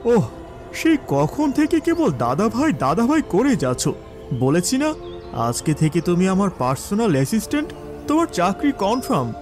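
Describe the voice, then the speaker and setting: A man acts out an arrogant, sleazy office boss from a cartoon thriller. His voice is high for a man and swings widely as he condescends and scolds. Music plays clearly behind him. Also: huge pitch swings, normal speed. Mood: irritated, bullying. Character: sleazy, arrogant, bullying male boss taking a job interview. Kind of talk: Bengali animated thriller acting.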